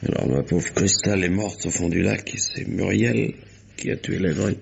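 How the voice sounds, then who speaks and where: An actor playing a somber bereaved husband in a radio mystery, piecing together the truth. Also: male voice, low voice, normal speed, creaky, darkish tone. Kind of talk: 1950s French radio mystery acting. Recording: noisy vintage radio recording.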